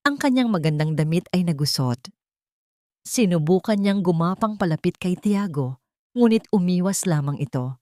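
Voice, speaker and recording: A woman's voice: on the low side, a little mellow, dry recording, clean studio sound. A woman storyteller reading a dramatic scripted story as narration.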